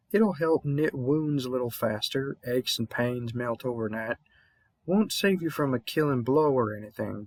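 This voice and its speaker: A man's voice: mid-range voice, a bit bright, dry recording, heavily acted. A man playing a weary, kindly veteran vampire hunter in a roleplay audio drama, with a Southern US accent and a rambling, slightly mumbled, reassuring manner.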